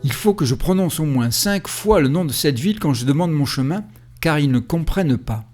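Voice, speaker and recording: A man calmly telling the story of his cycling trip in the first person, with a reflective, storytelling way of speaking. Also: on the high side, normal speed, faint music behind. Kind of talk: first-person travel narration. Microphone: voice-over recorded separately.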